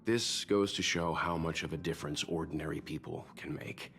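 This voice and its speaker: An actor playing a wise, encouraging older mentor in a video game, measured, reflective and reassuring. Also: male voice, very deep voice, fairly even intonation, vocal fry, a bit bright, exaggerated voice. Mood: earnest, encouraging. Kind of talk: scripted video game dialogue. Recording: faint music underneath.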